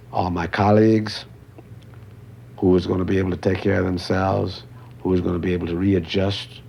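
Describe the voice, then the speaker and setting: Older male interviewee, deep and gravelly, reflective, measured phrasing, faint noise behind. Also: a little mellow. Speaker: photojournalist interviewed.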